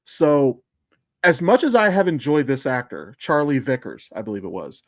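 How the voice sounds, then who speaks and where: A man's voice: medium pitch, big pitch swings, normal speed, no echo, clean studio sound. A man co-hosting a podcast with casual, emphatic commentary on shows and films, explaining at length and swearing freely. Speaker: podcast co-host.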